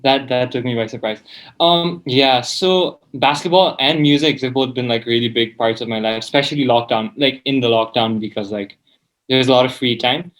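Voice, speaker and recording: A man's voice: medium pitch, moderate pace, a bit bright, low-quality recording. A seventeen-year-old man, chatting casually as an interview guest in a hesitant, informal way, often saying "like". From Nepal. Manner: hesitant, informal, peppers speech with "like". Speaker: student guest.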